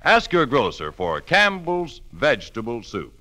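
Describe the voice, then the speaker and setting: Bright, forceful 1940s radio pitchman. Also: wildly swooping pitch, unhurried. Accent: American. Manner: hearty, emphatic salesmanship. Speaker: radio commercial announcer. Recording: 1940s radio broadcast recording.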